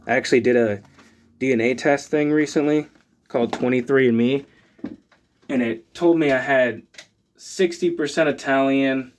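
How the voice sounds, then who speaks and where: A man's voice: medium pitch, normal speed, long pauses, slight background noise. A man, chatting casually as he unboxes items for his channel, rambling with lots of fillers. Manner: rambling, filler-heavy, relaxed. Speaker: channel host.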